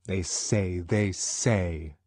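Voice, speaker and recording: A man's voice: medium pitch, huge pitch swings, moderate pace, a little mellow, husky, no room sound, clean studio sound, pitched above the performer's own voice. A man voicing a breezy, casual young woman with a defiant, tender streak in an audiobook. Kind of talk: audiobook character acting.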